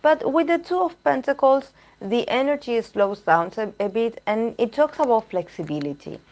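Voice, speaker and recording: A woman reading tarot cards on a live stream, chatting with viewers and pausing to search for words, her voice going hoarse. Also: a little high, animated, darkish tone. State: losing her voice. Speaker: tarot reader.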